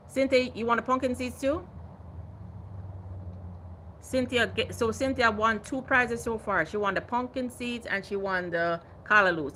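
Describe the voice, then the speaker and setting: A woman in her fifties hosts a relaxed gardening livestream and talks in a lively, chatty way. She often stops to read out viewer comments. Her voice is bright and crisp, and there is a faint hum of room ambience behind her. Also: a little high. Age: 50s. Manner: animated, laughing, reading out viewer comments. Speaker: gardening channel host. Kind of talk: casual livestream chat.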